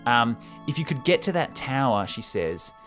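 A man's voice: mid-range voice, very animated, normal speed, a bit bright, faint music behind. A young man hosting a podcast, retelling a story casually and enthusiastically into a close microphone. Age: young adult. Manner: enthusiastic, gesturing, slangy. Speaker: podcast host. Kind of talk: animated casual retelling of a film script. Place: small room indoors. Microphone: close podcast microphone on stand.

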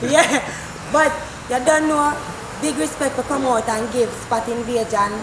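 A chatty young Jamaican woman hosts an outdoor interview at night in a high voice. She jokes and talks easily with her guests. Her voice rings with echo, and clear street noise runs behind her. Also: measured pace. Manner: friendly, chatty, joking. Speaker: host. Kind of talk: casual interview. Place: outdoors at night by a parking lot.

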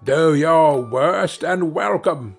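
A man's voice: fairly high pitch, slow pace, gravelly, slightly rough, faint music behind, full character voice. A man voicing a brutish, scornful, grumbling male carter in a fairy tale.

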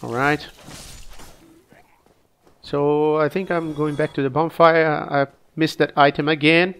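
A man with a cold casually commenting on his own gameplay, relaxed and halting, coughing now and then. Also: fairly high pitch, clean tone. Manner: relaxed, halting, frequent restarts and fillers. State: sick, coughing.

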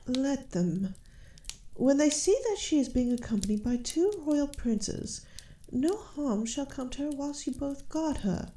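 A woman playing a gracious, shrewd elf queen and mother in a fantasy audio roleplay, speaking softly in regal, measured phrases. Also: high-pitched, expressive. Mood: commanding. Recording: roomy echo.

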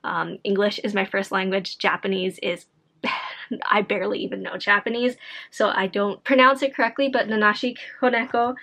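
A woman's voice: medium pitch, steady tone, normal speed, vocal fry, pushed and bright. A tired young woman vlogging casually to camera from her home office, chatty and rambling with many fillers. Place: home office room, indoors.

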